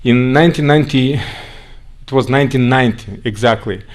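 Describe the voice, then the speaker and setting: A man with a Russian accent, raised in Siberia, giving a conference talk in a halting way with frequent restarts. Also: mid-range voice. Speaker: guest speaker.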